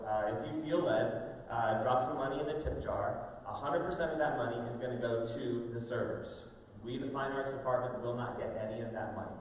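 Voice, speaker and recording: A man hosting a live show, giving an informal, halting welcome speech to an audience with drawn-out pauses. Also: mid-range voice. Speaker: host, school fine arts director introducing a show. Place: large indoor room with stage and audience tables. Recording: very echoey hall, distant mic, faint noise.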